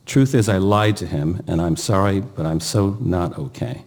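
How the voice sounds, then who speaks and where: Older male eulogist, low and dark-toned, halting delivery with pauses. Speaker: eulogist, brother of the deceased. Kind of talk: eulogy, partly read aloud.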